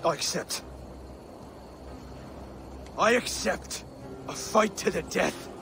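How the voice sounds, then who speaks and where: A man's voice: high voice, slow, gravelly, noisy background, heavily acted. An actor playing a resolute, honourable Saxon king-to-be in a video game, speaking firmly and formally. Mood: grave, determined. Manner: earnest, firm, formal. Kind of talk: video game cutscene acting.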